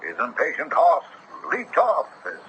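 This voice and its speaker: An actor playing a courteous, earnest horseback traveler recounting a strange meeting, in a measured 1970s radio drama style. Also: male voice, a little high, huge pitch swings, lo-fi sound. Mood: wondering recollection. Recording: noisy vintage radio broadcast.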